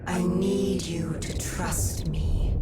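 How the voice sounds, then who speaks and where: Calm guiding voice, hypnotic, drawn-out pace, soothing, game cutscene, heavy music behind. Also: female voice, lowish voice. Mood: hushed, coaxing. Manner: soothing, deliberate, intimate. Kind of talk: video game acting.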